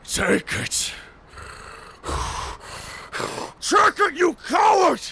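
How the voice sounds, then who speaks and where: A man playing a proud, honor-bound and taunting werewolf warrior in a cinematic audio roleplay, speaking formally with a menacing, raspy growl. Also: extremely high pitch, big pitch swings, sharp tone, shouting, heavily acted. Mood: taunting challenge. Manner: slow, menacing, theatrical, formal speech.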